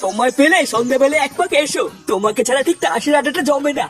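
Casting: genial older male neighbour played by an actor, high fast voice, light music, cartoon comedy. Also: lively intonation, recorded from a distance. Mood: friendly. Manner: friendly, colloquial. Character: genial, chatty older village neighbour man.

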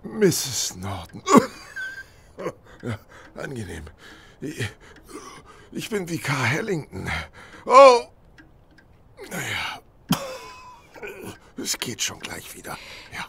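Halting, gentle vicar with swooping pitch. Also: male voice, wildly swooping pitch, gravelly, a little hiss or hum, full character voice. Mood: weak, pained. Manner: hesitant, mild, courteous, halting. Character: gentle pious vicar, frail and grateful. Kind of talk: German radio play acting.

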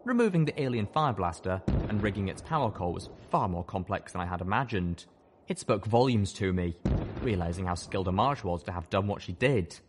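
A man's voice: low voice, lively intonation, brisk, background noise. A man reading first-person fiction as a dramatic audiobook narrator.

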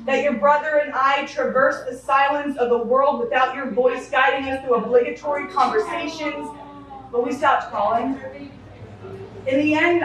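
A woman's voice: high voice, background noise. A woman in her thirties performing spoken word poetry at an open mic in a small bar, reading from a book with intense, impassioned delivery. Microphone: stand mic, filmed by a far camera. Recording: echoey bar, from far off. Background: bar ambience.

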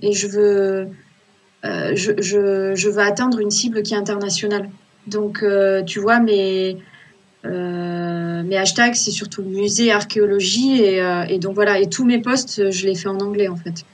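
A woman's voice: medium pitch, steady tone, a little slow, slightly rough. A woman in her thirties, an archaeological illustrator, talking casually on a video call from a small room at home, with many fillers and restarts. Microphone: laptop webcam on a video call.

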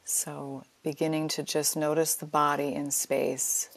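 Low, slow-spoken woman guiding meditation. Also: flat delivery, creaky. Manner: soothing, unhurried, gently inviting. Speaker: yoga nidra teacher leading a guided relaxation. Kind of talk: guided meditation.

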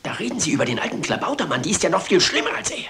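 A man's voice: fairly high pitch, big pitch swings, racing, bright, forceful tone, slight background noise, full character voice. An actor playing a pompous, exasperated male ship's captain in a detective drama, blustering and indignant. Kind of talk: German detective film dialogue.

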